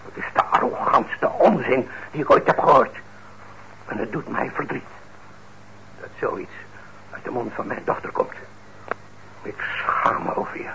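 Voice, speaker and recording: An actor playing a stern, principled middle-aged father in a radio drama, speaking in a measured, admonishing way. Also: mid-range voice, vocal fry. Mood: indignant, reproachful. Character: stern, principled father and craftsman, indignant but fair. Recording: muffled old radio recording, faint noise.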